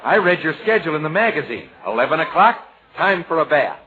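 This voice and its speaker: A man's voice: fairly high pitch, sing-song, fast. An actor playing an easygoing, earnest young American man, chatty and folksy, in old radio comedy style. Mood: friendly, teasing. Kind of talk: 1940s radio comedy acting. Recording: muffled, noisy old radio broadcast.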